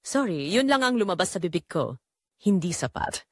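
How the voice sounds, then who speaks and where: A woman's voice: lowish voice, big pitch swings, moderate pace, breathy edge, no room sound, clean studio sound. A woman reading a scripted first-person drama narration in an emotive, dramatic way.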